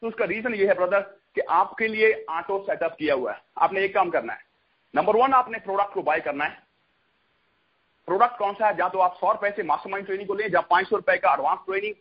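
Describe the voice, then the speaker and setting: A man with a husky, rough-edged voice giving a repetitive sales pitch on a conference call, dropping English catchphrases into his talk. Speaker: network marketing promoter hosting a conference call. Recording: dull lo-fi conference call audio.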